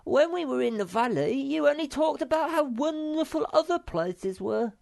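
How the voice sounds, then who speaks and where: Lady doing a squeaky little guy, bragging and excited, storybook voice. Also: moderate pace, creaky. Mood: eager. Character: high squeaky excitable little male creature. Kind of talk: storybook reading.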